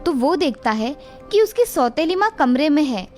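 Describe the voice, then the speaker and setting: A woman's voice: high-pitched, sing-song, normal speed, darkish tone, soft background music. A woman narrating a scripted children's fairy tale as storyteller.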